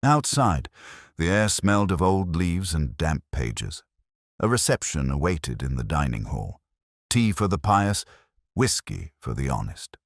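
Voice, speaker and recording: Very deep, husky male narrator. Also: big pitch swings, a little slow, no echo, studio quality. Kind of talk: first-person scripted detective story narration.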